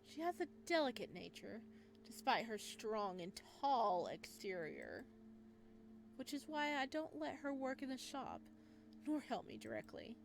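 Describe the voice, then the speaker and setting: Woman reading as a gentle, devoted male florist. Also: a little high, huge pitch swings, a little slow, vocal fry, a little hiss or hum, full character voice. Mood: tender. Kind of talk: reading a visual novel aloud. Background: game music.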